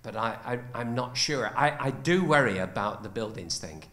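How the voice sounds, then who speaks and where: A man's voice: mid-range voice, lively intonation, moderate pace, fairly bright tone. An older man from the north of England speaking from the audience seats of a lecture theatre on a handheld mic, rambling with many restarts. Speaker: audience member. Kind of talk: audience question. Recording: echoey lecture hall.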